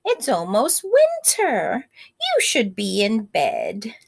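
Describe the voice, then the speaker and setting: A woman's voice: on the high side, wildly swooping pitch, takes it slowly, gravelly, husky, full character voice. A woman voicing a friendly little Halloween witch in a children's storybook, scolding in a motherly way. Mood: fond scolding.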